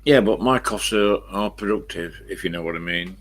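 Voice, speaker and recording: An elderly English man with a croaky smoker's voice, rambling casually to viewers on a live stream and answering his own questions. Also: sits low, normal speed. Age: 70s or older. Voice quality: croaky, husky smoker's voice with a cough.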